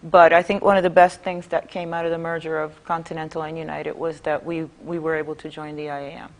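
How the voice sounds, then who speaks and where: Woman from Puerto Rico, studio interview guest, low-ish voice, careful and halting delivery. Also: flat delivery. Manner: measured, with frequent "uh" pauses. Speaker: union member guest.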